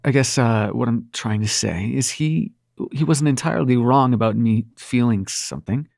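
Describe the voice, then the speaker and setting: Man acting gravelly, flustered, smitten nightmare prince. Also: mid-range voice, a little mellow, dry recording, clean studio sound, close to an everyday voice. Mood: flustered, confessing. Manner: halting, stammering, sweary and sardonic. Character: male supernatural prince of nightmares, brash, flustered, smitten lover. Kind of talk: intimate audio roleplay acting.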